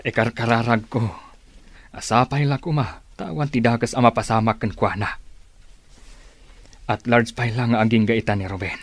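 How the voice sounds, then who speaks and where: A man's voice: medium pitch, steady tone, normal speed, long pauses, dry recording, natural voice. A man playing a kindly, pious older uncle in a radio drama. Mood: prayerful, worried.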